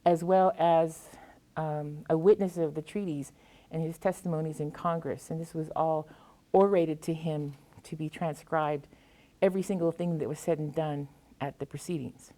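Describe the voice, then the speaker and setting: American woman poet with a lowish voice. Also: fairly even intonation, moderate pace, warm tone. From US Pacific Northwest. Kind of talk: anecdotal talk and poetry reading to an audience.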